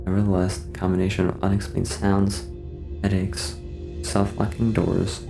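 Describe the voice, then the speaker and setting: Very low, gravelly male narrator. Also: low-quality recording. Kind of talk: scripted horror narration, case-file log reading. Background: music.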